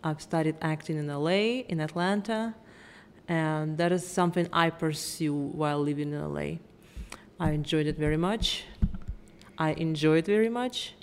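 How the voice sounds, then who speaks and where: A woman's voice: deep voice, normal speed, clear, resonant tone, long reverb tail. A young woman from Moscow with a Russian accent, a podcast co-host who acts, bubbly and laughing as she chats. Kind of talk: casual chat.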